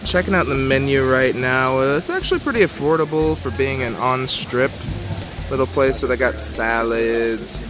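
A man's voice: mid-range voice, normal speed, a crowd in the background. A young man hosting a casual food vlog, chatting loosely with lots of fillers as he films himself on a phone at an outdoor poolside cafe. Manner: relaxed, chatty, frequent fillers. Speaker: vlog host. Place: outdoor cafe patio by a pool. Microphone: phone camera held at arm's length. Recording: noisy outdoor phone recording.

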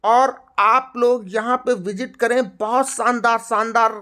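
A woman hosting a livestock video, narrating off camera through a buffalo shed in an upbeat sales pitch aimed at farmers. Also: fairly even intonation, measured pace, a bit bright. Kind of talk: off-camera farm tour narration.

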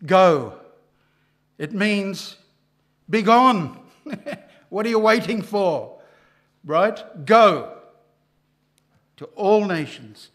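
An older man preaching a sermon at a church lectern microphone, emphatic and rising loud on key lines. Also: high-pitched, lively intonation, extremely slow, long pauses.

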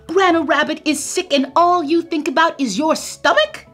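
A woman voicing a busy, stern mother rabbit who scolds loudly. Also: very high-pitched, normal speed, faint music behind, voice pushed up. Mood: scolding. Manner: loud and scolding.

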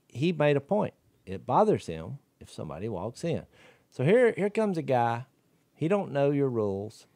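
A man, co-hosting a podcast, talking in an animated, riled-up way on a studio mic. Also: medium pitch, no echo. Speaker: podcast co-host. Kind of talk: casual religious discussion. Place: wood-paneled studio room. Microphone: studio mic with headphones.

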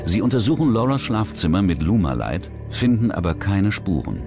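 A man narrates a scripted true-crime documentary. His voice sits low and moves through animated intonation. Music plays clearly underneath the narration. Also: moderate pace. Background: background music.

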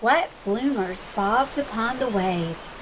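A woman librarian reading a children's storybook aloud with expressive, deliberate, emphatic narration. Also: a little high, background noise.

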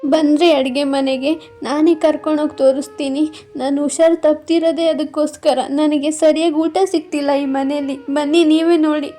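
A woman's voice: sits high, level tone, normal speed, mellow, faint music behind, close to an everyday voice. A woman voicing a scheming aunt in a cartoon, complaining to her husband in a plaintive, wheedling way.

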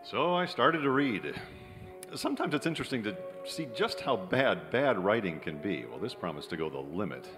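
Cynical Hollywood writer: low American voice, animated hard-boiled radio-play delivery, hall reverb, music. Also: male voice, normal speed. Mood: wry. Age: middle-aged. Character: wry, cynical young Hollywood screenwriter narrating his story. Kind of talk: old-time radio drama narration and dialogue. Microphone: vintage-style stand microphone.

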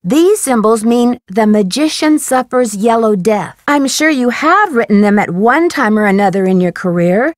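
A woman playing a friendly, earnest, inquisitive young female detective in an adventure video game, coaxing and questioning with clear diction. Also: a little high, no echo, clean studio sound. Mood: curious. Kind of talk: scripted adventure game dialogue.

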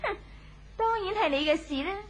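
An actress playing a proud, cutting young wife in a 1970s radio drama, cool and scornful. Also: female voice, very high voice, expressive, moderate pace. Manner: haughty, cutting. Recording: old 1970s radio broadcast.

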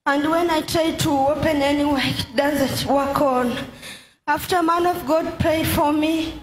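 A woman gives an earnest testimony at a very slow pace. Her voice is high and rings around a big hall. A faint crowd sits behind her. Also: fairly even intonation, faint music behind. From Kenya. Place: large indoor church hall. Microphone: handheld mic held by an usher.

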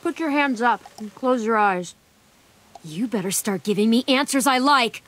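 A woman voicing a tough, guarded teenage girl surviving a zombie apocalypse in a video game, terse and dry, with a slightly husky voice. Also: a little high, expressive, normal speed, fairly bright tone, poor audio. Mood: wary, defiant. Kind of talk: video game voice acting, isolated dramatic lines.

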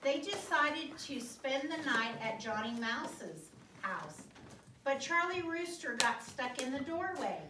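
A woman's voice: high-pitched, animated, takes it slowly. A middle-aged woman reading a picture book to children in a classroom, expressively drawing out words and checking in with questions. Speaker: guest storyteller reading to schoolchildren. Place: indoor school classroom. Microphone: distant phone or camera across the room. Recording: roomy, distant mic, noisy classroom.

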